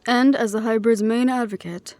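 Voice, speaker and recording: A woman playing a composed, dutiful middle-aged leader, speaking in a measured, reflective way in an audio roleplay. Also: on the high side, fairly even intonation, close to an everyday voice. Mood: fond, proud. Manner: measured, reflective, quietly firm.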